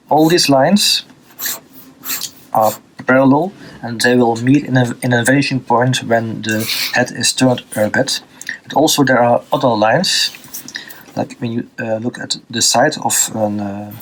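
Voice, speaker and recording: Man, Dutch accent, art teacher, unscripted tutorial, halting, many fillers, middle pitch. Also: a bit bright, slight background noise, rough recording. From Belgium. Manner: halting, many fillers and restarts while drawing.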